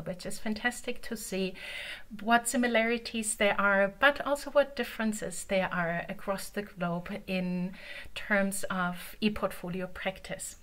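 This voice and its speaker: A woman's voice: medium pitch, fairly bright tone. German-accented woman giving an online talk. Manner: conversational, many filler ums. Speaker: conference presenter. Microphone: video call.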